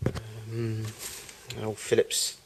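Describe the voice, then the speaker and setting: A man speaks in a low register with very little rise or fall in pitch. He gives an unscripted commentary, like a collector walking viewers through his things at home. The amateur recording carries a light layer of noise. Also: completely flat delivery, slow. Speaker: collector giving a tour of his machines. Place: home garage with loft. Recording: noisy amateur camcorder audio.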